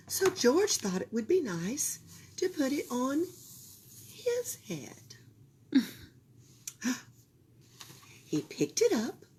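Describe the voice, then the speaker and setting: A woman reading a children's picture book aloud for a library storytime, expressive and sometimes dropping into a whisper. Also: mid-range voice, a little hiss or hum. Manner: expressive, drops into whispers for suspense.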